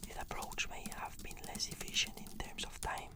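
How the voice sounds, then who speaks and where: Woman whispering asmr therapy client answers, careful and earnest, sounds read out. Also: normal speed.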